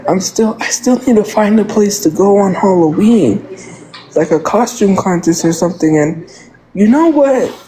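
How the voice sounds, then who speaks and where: A man's voice: high voice, expressive, moderate pace, mellow, a little hiss or hum, poor audio. A man in his thirties with a congested nose, rambling and venting in casual livestream chat. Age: 30s. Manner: rambling, venting, repeats himself.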